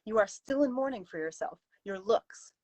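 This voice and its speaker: A woman's voice: medium pitch, animated, normal speed, darkish tone, no room sound, voice pushed up, natural voice. A woman voicing a stern, outspoken Victorian lady's companion with a prim, emphatic, reproving manner in a story reading. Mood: indignant, scolding. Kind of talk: live dramatic story reading.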